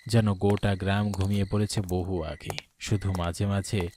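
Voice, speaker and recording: A man delivering scripted narration as the storyteller of an animated horror tale. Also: sits low, normal speed, a little hiss or hum. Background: music.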